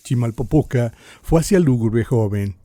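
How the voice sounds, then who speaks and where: A man's voice: mid-range voice, expressive, normal speed, mellow, dry recording. A man with a Mexican accent reading scripted historical narration in a solemn, theatrical, literary manner.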